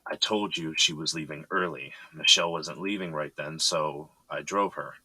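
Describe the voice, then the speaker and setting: A man's voice: extremely low pitch, normal speed, bright, forceful tone, slightly rough, dry recording, full character voice, poor audio. An actor playing a composed, evasive but polite businessman in an audio drama. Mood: guarded, defensive.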